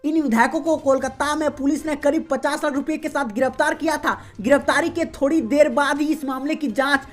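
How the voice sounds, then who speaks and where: High-voiced woman reading regional news. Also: brisk. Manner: steady formal newsreader delivery. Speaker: news reader. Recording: faint music behind voice.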